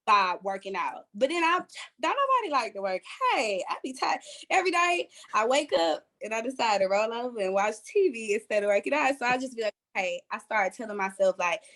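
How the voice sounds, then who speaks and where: A woman's voice: a little high, sing-song, fairly quick, a bit bright. A woman panelist talking candidly and colloquially, with plenty of slang and a joking streak. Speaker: panelist, performer.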